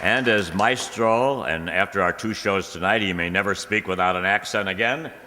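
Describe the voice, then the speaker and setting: A man's voice: low voice, moderate pace, pushed and bright. A man announcing scripted credits as the announcer of a radio comedy show. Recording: echoey hall, crowd and clear noise.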